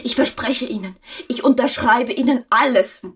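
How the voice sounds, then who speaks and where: A woman's voice: a little high, moderate pace, breathy edge, distant mic. An actress playing a proud, passionate married woman in debt, pleading desperately in a radio drama. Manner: pleading, impulsive. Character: desperate, proud, passionate indebted young wife.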